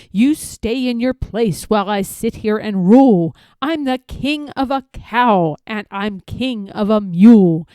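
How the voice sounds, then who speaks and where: Woman playing a boastful turtle king, imperious, bellowing, animated high pitch, storybook rhyme. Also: dry recording. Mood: imperious, commanding. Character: pompous, bossy, boastful male turtle king. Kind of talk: rhyming storybook read-aloud.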